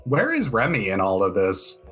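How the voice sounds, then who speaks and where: A man acting as game master, narrating and bantering in a deadpan way during an improvised tabletop roleplaying session. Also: fairly low pitch, moderate pace, faint music behind.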